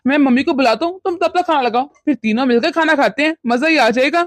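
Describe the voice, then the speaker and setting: औरत की आवाज़ में ऊँची आवाज़ वाला परेशान पति. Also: female voice, high-pitched, quick pace, no echo, close to an everyday voice. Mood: puzzled, coaxing. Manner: flustered, repeats words in questions. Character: young husband, devoted son, bewildered and mild. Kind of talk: melodramatic domestic story acting.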